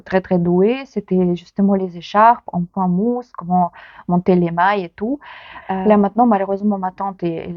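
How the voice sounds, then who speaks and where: Ukrainian woman in her 30s, heavy accent, lots of pauses and restarts, dry muffled sound. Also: mid-range voice, dry recording. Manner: hesitant, many pauses and restarts. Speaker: knitting vlogger. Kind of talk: casual chat to camera.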